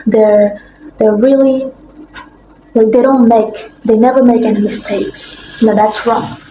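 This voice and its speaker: A young woman gives an informal, earnest explainer to camera, haltingly repeating and rephrasing her words. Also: a little high, fairly even intonation, warm tone. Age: young adult. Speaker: language-learning content creator. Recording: echoey room with faint background noise.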